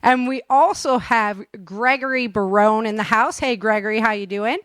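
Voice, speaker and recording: A woman's voice: a little high, normal speed, fairly bright tone, clear, resonant tone, no echo, clean studio sound. An American woman hosting a podcast in a casual, chatty, slangy way. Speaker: podcast host. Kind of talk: casual upbeat podcast chat.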